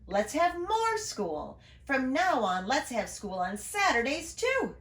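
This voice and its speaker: A woman voicing a proud, overeager male school principal in a storybook, declaiming with drawn-out, emphatic words. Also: high voice, wildly swooping pitch, a bit bright, pitched above the performer's own voice, exaggerated voice. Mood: enthusiastic, grand. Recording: roomy, echoing room sound.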